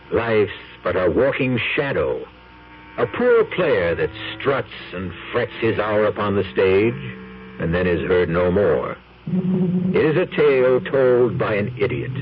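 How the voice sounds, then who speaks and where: A man hosting a radio mystery drama, reciting Shakespeare in a measured, theatrical declamation from an old broadcast. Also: fairly low pitch, lo-fi sound, a little hiss or hum.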